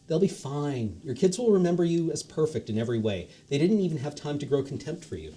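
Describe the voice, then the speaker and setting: A man's voice: on the high side, sing-song, a little fast, warm tone, slight background noise. A man reading the lines of a casual, patient male God who talks like a teacher. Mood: offhand. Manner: breezy and offhand, teacherly.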